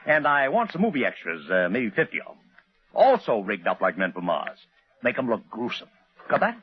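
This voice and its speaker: A brash, husky old-radio publicity hustler. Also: male voice, medium pitch, huge pitch swings, normal speed, no echo. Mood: brisk, bossy. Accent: American. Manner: slangy, breezy salesman talk. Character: brash, cynical male publicity agent, fast-dealing and self-satisfied. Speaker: actor in a radio drama. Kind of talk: old time radio drama acting. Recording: muffled vintage radio broadcast recording.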